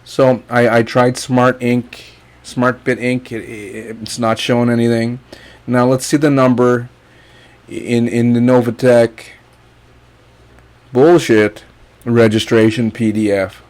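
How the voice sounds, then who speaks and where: A man's voice: mid-range voice, level tone, takes it slowly, darkish tone. A man from Guyana talking through a screen share in a casual, blunt and mocking rant, cursing freely as he walks viewers through what he finds. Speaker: online income youtuber.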